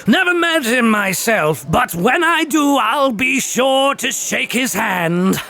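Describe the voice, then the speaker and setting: An actor playing a drunk, boisterous, lecherous baron in an audiobook, creaky, booming and slurring his drawled words. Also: animated, slow, bright, forceful tone, full character voice. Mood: tipsy, gleeful. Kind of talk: full-cast audiobook acting.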